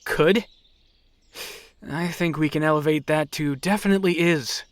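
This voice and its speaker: A man's voice: fairly high pitch, a little slow, close to an everyday voice. A man playing a cynical, dismissive knight in a fantasy audio drama, blunt and sarcastic. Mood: scornful.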